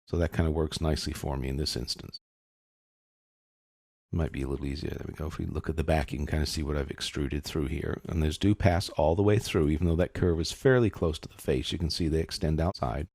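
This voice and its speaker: Low, gravelly male software instructor. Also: fairly quick, dry recording, studio quality. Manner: methodical step-by-step explaining. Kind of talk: casual screen-recorded software tutorial narration.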